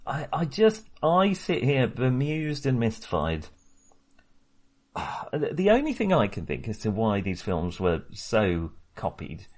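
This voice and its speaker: A man co-hosting a film podcast in casual discussion, talking haltingly and often correcting himself. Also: medium pitch, huge pitch swings, darkish tone. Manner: hesitant, halting, self-correcting.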